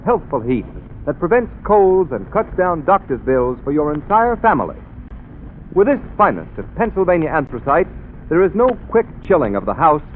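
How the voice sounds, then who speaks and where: A man's voice: a little high, phone-quality sound, slight background noise. Emphatic 1930s radio announcer, man. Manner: persuasive, emphatic. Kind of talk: scripted radio commercial.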